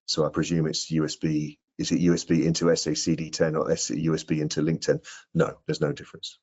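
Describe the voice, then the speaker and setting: A man's voice: very deep voice, fairly quick, darkish tone. A middle-aged man answering technical questions informally on a video call, often repeating words as he explains. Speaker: product presenter answering audience questions. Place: indoor room on a video call. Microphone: video call microphone. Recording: dull, band-limited video-call audio.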